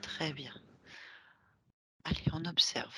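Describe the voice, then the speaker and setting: A woman hypnotherapist guiding a session, asking short patient questions softly, often in a whisper. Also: low voice, steady tone, slow, breathy edge, no room sound.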